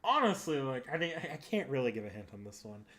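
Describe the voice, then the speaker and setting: A man's voice: medium pitch, sing-song, fast talker, fairly bright tone. A man hosting a casual livestream, chatting with viewers in a rambling way, thinking aloud and often correcting himself. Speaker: streamer and host.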